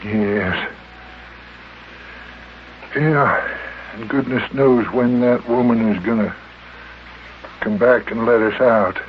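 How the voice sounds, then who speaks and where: A man's voice: medium pitch, takes it slowly, pauses often, highs cut off, a little hiss or hum. Male narrator-host for children's old-time radio serial, mid-range voice, band-limited vintage sound.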